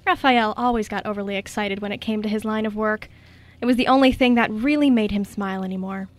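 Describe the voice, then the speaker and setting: Female narrator, scripted storytelling, radio drama, medium pitch. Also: fairly even intonation, fast talker.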